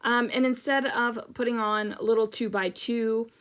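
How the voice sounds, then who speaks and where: A woman's voice: fairly high pitch, fairly even intonation, measured pace, clean tone. A middle-aged woman hosting a scrapbooking channel, chatting casually and rambling with lots of ums, her voice a little worn from talking. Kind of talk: casual crafting chat over a process video.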